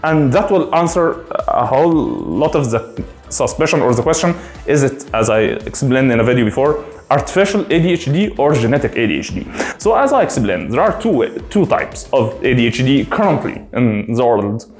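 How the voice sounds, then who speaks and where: A man's voice: mid-range voice, big pitch swings, moderate pace, echoey room, soft background music. Rambling Egyptian man in his thirties. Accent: Egyptian Arabic. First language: Arabic. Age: 30s. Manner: rambling, many fillers and restarts. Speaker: gaming streamer. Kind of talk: casual rant to camera.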